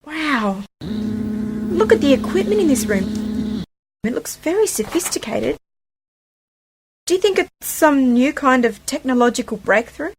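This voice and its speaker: A woman's voice: sits high, big pitch swings, unhurried, long pauses, noisy background, exaggerated voice. A woman playing a curious, sceptical young niece and companion in an amateur science fiction radio play, questioning and cheeky. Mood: curious, wary.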